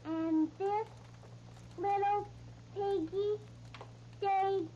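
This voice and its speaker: A performer playing a sweet, eager little girl with a halting, childlike manner in a 1930s radio serial. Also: extremely high pitch, fairly even intonation, mellow, heavily acted. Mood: playful, eager. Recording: noisy, muffled 1930s radio broadcast.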